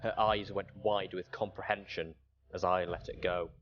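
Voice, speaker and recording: A man's voice: low-pitched, level tone, normal speed, muffled recording, heavy background noise. A man reading a story aloud as a dramatic narrator. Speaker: audiobook reader.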